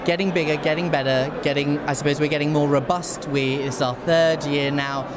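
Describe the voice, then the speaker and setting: A man's voice: fairly high pitch, steady tone, moderate pace. A man answering informally and emphatically as chair of an association being interviewed. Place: busy venue before an awards ceremony. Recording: clear background noise of busy venue. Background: venue noise.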